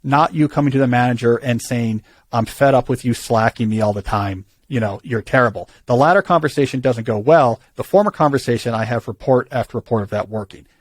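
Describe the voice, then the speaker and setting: A man's voice: mid-range voice, no echo. A man hosting a call-in advice show, talking through ideas thoughtfully with frequent restarts. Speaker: podcast host giving career advice.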